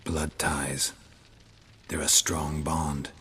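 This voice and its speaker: An actor playing a stoic, cynical monster hunter in a video game, speaking in a curt, cold deadpan with a gravelly, husky voice. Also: male voice, rumbling low, extremely slow, slight background noise, full character voice. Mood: grim, matter-of-fact. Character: stoic, cynical monster-hunting witcher, hardened man. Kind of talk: understated video game acting.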